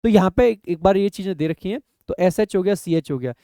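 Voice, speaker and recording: A young man with a high, mellow voice teaches grammar for competitive exams. He walks through each rule patiently, voicing his students' doubts and then settling them himself. The recording is close and dry, with no room sound. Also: no echo. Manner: explanatory, asks and answers his own questions, reads rules slowly. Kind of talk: exam-prep grammar lecture in Hindi.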